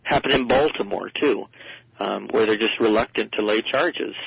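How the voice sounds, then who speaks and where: A man with a creaky, gravelly voice gives informal political commentary as a radio guest. He speaks haltingly and rewords himself, in a dry, muffled recording. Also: on the low side, dry recording. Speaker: interview guest, journalist and commentator.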